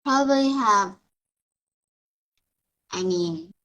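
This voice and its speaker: Hesitant slow-talking girl student. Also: high voice, expressive, a bit bright. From Vietnam. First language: Vietnamese. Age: child of eleven. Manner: hesitant, searching for words. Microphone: video call.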